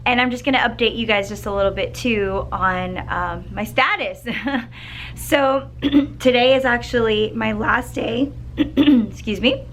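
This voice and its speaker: A woman's voice: on the high side, unhurried, a bit bright. Chatty woman with a slightly scratchy voice. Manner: chatty and upbeat, with fillers and throat clearing. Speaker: second grade teacher. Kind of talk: casual vlog chat to camera. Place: school classroom.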